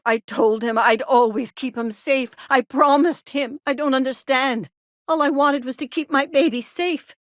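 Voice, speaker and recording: Anxious smothering mom, high voice, melodramatic, doting and fussy, video game character, dry studio sound. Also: a bit bright, no room sound. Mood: anxious pleading. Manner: fussy, anxious, melodramatic, cooing baby talk. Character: overprotective, fretful, doting small-town mother, dramatic worrier.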